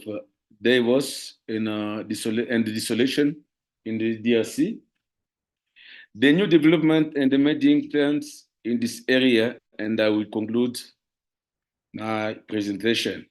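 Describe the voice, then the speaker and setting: A man with a Congolese French accent delivers a formal talk. His pace is very slow and deliberate, at a middling pitch. His English is careful and halting, that of a French speaker presenting in a second language. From Democratic Republic of the Congo. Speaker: lawyer and university teacher, guest speaker.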